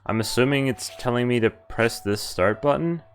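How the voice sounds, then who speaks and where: A man's voice: medium pitch, normal speed, clear, resonant tone. A man streaming a game, reading its story text aloud in an informal way. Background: game music.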